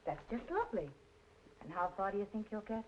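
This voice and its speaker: Actress as a sardonic, swooping noir dame. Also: female voice, mid-range voice, big pitch swings, fairly quick, natural voice. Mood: bitter, sardonic. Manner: sardonic, biting. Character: jaded, jealous woman boss, sardonic and tough. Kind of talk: film noir melodrama acting, mid-century. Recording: muffled old film soundtrack, faint noise.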